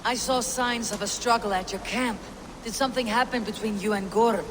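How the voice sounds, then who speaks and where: A woman playing a steady, determined Norse warrior in a video game, speaking tersely and with composure. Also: fairly high pitch, normal speed, long reverb tail, background noise, lightly acted. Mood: calm, probing. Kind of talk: video game cutscene acting.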